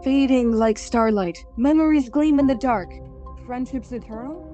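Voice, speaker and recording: A woman voicing a serene, wise creature companion who speaks in calm, measured verse in a fantasy audio drama. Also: a little high, muffled recording, faint music behind, lightly acted. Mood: serene, wistful.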